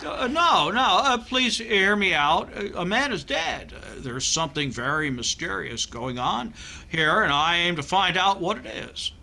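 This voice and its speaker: A man's voice: high-pitched, animated, a little slow, gravelly, bright, forceful tone, full character voice. A man playing a flustered, earnest doctor turned amateur sleuth in a 1950s-style radio comedy, hesitant and stammering. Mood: urgent, pleading.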